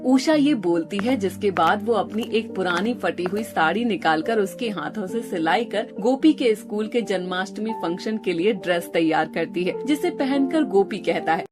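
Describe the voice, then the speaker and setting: A woman's voice: medium pitch, expressive, normal speed, few pauses. A woman narrating a scripted story as a storyteller. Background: music behind the voice.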